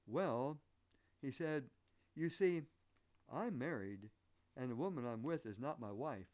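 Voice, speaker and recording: A man from the northern United States with an acquired Southern American accent, preaching a sermon in an earnest, deliberate manner. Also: medium pitch, animated, darkish tone. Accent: American, picked up Southern. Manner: earnest, deliberate, rhetorical questions. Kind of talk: sermon with illustrative anecdotes.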